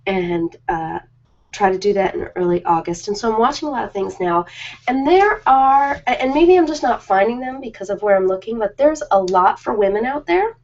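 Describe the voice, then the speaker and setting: A woman's voice: medium pitch, a little mellow, a little hiss or hum. Rambling woman on muffled audio. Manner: rambling, informal, hesitant pauses. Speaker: ASMR host. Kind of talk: casual live chat to viewers.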